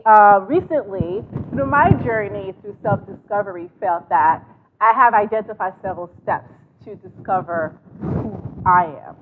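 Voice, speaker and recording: Haitian american woman from new york, earnest coach talk, phone-thin sound, hissy line. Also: medium pitch. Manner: earnest, measured, spiritual phrasing. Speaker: guest, life coach and author. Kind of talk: talk show interview. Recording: tinny phone line with noise.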